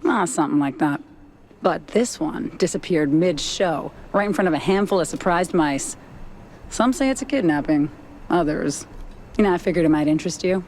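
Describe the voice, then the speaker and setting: A woman's voice: on the low side, expressive, normal speed, a little mellow, slight background noise. A woman playing a coy, cool mouse informant in a noir cartoon game, with a wry, teasing delivery.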